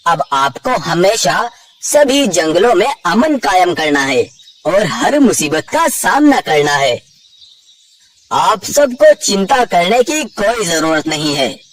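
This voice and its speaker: A man voicing an earnest talking jungle animal in a children's cartoon, with declamatory, deliberate delivery. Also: high voice, very animated, a bit bright, heavily acted. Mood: solemn. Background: background music.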